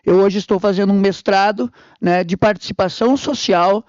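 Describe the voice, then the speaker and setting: A man's voice: high-pitched, a little fast, a little mellow, no room sound. A man from Brazil, a public health master's student, giving earnest panel remarks with occasional stumbles. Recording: dry, dull lo-fi conference recording.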